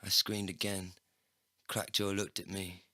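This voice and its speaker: A man narrating a scripted first-person comedy story in an expressive, sweary storytelling manner. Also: deep voice, unhurried, no echo, studio quality. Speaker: narrator and lead character.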